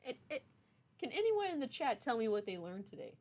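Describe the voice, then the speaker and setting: A woman's voice: a little high, big pitch swings, fairly quick, darkish tone. A young adult woman streamer chatting casually with her viewers in a playful, teasing, mock-stern way. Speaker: streamer, game writer. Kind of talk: casual livestream chat.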